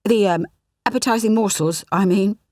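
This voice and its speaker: A woman's voice: medium pitch, normal speed, a little mellow, no room sound, clean studio sound, pitched above the performer's own voice, lightly acted. A woman voicing a cheeky, slangy fifteen-year-old schoolboy for an audiobook, over-cheerful and sarcastic. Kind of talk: audiobook character voice.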